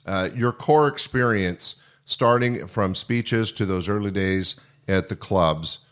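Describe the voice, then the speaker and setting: An older man hosting a podcast in casual conversation, talking in an earnest, wordy, halting way. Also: on the low side, a bit bright. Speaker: podcast host. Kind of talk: casual interview chat.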